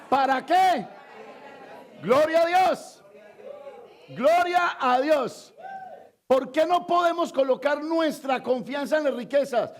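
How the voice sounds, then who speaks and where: A man from Colombia with a Colombian Spanish accent, preaching a sermon as pastor, quizzing the congregation and swinging between loud emphatic lines and low confiding asides. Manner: call-and-response preaching, asks the congregation to fill in words.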